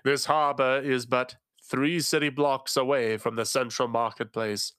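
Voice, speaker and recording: A resonant, tentacled male illusionist speaks in slow, measured, grave tones, as a male narrator gives him voice. The sound is bright and dry, with no room around it. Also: mid-range voice, fairly even intonation, clean tone, dry recording, close to an everyday voice.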